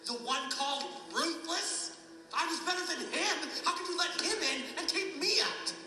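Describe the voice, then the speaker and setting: A man's voice: very high voice, moderate pace, pushed and bright, heavily acted. An actor playing a self-righteous condemned man, protesting and pleading with his king in anguished, melodramatic audio drama style. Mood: indignant protest. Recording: heavy hall echo, music behind.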